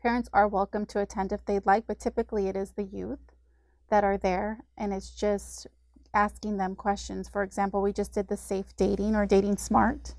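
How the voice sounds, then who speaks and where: A woman's voice: mid-range voice, gravelly, a little mellow, dry recording. A woman who is a community services director, explaining steadily in an interview with the occasional filler. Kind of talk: informational interview.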